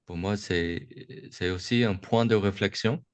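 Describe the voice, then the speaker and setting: A man from the United States gives a reflective Buddhist talk to young adults over a video call, slow and halting, often restarting his sentences. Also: lowish voice, no room sound. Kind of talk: reflective dharma talk on a webinar. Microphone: video call microphone.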